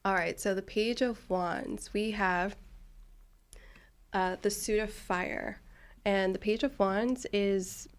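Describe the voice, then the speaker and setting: Woman hosting a chill podcast, mid-pitched voice, eager and friendly. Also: fairly even intonation, slow, clean tone. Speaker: podcast host. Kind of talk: casual podcast interview.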